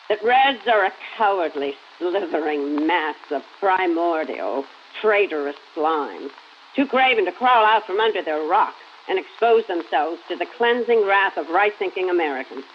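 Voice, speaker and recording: An actress playing a sanctimonious middle-aged woman venomously testifying in an oratorical 1950s newsreel style, in an audio drama. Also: on the low side. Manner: oratorical, denouncing with relish. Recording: crackly, dull old newsreel sound.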